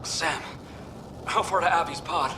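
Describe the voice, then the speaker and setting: A man's voice: on the high side, steady tone, unhurried, close to an everyday voice. An actor playing a wry male alien explorer in a video game audio log. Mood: strained, wry. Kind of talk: video game cutscene acting. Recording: loud noise over audio log.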